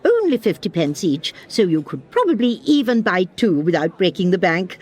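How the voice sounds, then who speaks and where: A woman's voice: medium pitch, sing-song, a little slow, darkish tone, slightly rough. An actress playing a fussy, persistent, genteel lady in a radio comedy, polite and twittery. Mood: eager, genteel. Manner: polite, twittery, insistent, hesitant. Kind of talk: radio comedy drama acting.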